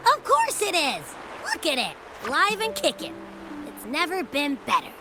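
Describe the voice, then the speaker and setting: A cheeky, upbeat video game girl with a bold streak. She is high and lively in pitch but takes her time over the words, in a casual, playful way. Music is clearly heard underneath. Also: measured pace, full character voice. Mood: proud, cheerful. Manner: brash, playful, casual and exclamatory. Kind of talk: video game character acting.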